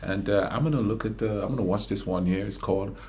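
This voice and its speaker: A man from a Caribbean island hosting a reaction video, chatting casually in a relaxed, rambling way. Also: fairly low pitch, quick pace. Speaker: reaction channel host. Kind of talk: casual chat.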